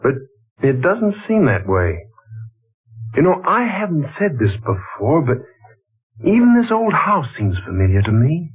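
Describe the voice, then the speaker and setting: An actor playing a hesitant, haunted man with a husky voice and measured pauses, in 1940s radio style. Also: fairly high pitch, huge pitch swings, exaggerated voice. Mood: troubled, wondering. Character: hesitant, haunted male party guest, troubled by memory. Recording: tinny, narrow-band old radio recording.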